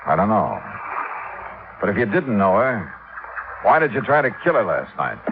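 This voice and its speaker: Dry hardboiled detective, tinny old radio. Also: male voice, on the low side, sing-song, moderate pace, noisy background. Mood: coolly probing. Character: hardboiled, wry male insurance investigator. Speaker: lead character and narrator. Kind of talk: 1950s radio detective drama acting.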